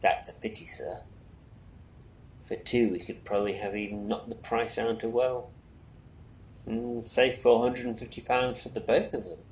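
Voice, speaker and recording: A man reading a storybook puts on an obliging salesman with a creaky voice. He is deferential, formal and quick to apologise, speaking in a roomy, echoing space. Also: mid-range voice, level tone, darkish tone, dull audio, voice pushed up, lightly acted. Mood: regretful. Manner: polite, formal, fussily apologetic. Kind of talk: storybook character voice. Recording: roomy echo, faint noise.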